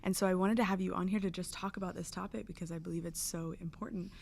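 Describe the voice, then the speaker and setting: Female interview host, lower pitch, enthusiastic and encouraging. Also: fairly even intonation, fast, vocal fry, darkish tone, a little hiss or hum. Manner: enthusiastic, frequent affirmations. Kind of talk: interview conversation.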